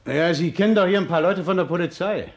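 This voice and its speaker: An actor in a 1950s German radio crime drama playing an anxious, hot-tempered working-class man who tells his story haltingly. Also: high voice. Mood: uneasy, confiding.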